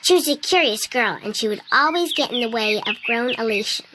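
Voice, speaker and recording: A woman voicing a small winged girl who earnestly tells a children's tale, in video game dialogue, with loud noise behind. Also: a little high, animated, brisk, close to an everyday voice. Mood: storytelling. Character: small winged girl, sweet and eager storyteller.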